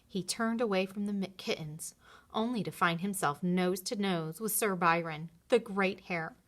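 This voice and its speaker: A woman narrating a children's story in an expressive read-aloud style. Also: mid-range voice, normal speed.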